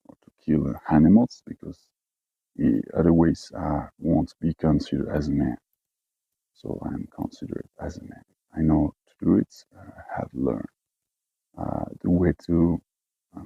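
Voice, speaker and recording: A man from French-speaking Switzerland with a Swiss French accent, talking casually in halting English with many fillers and restarts. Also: rumbling low, vocal fry, slightly rough, no room sound, phone-quality sound. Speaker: expatriate herder. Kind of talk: casual personal talk.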